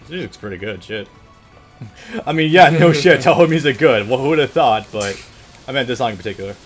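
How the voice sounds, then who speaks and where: A man's voice: medium pitch, animated, brisk. A man co-commentating a let's play in casual, laid-back chat, joking and swearing now and then. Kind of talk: casual chat over gameplay. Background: game music.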